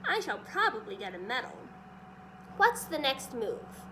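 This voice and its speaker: Lady doing a stuffy army general guy from a kids book, pompous n impatient, high and animated. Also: unhurried, background noise. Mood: self-important, impatient. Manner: self-important, demanding. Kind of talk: children's storybook reading.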